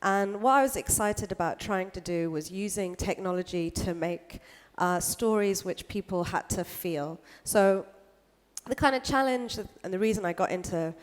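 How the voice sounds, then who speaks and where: A woman's voice: mid-range voice, normal speed. Woman, from the UK, conference talk, casual and filler-heavy, reverberant hall. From United Kingdom. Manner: casual, many fillers like "kind of" and "like". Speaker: speaker. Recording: echoey hall.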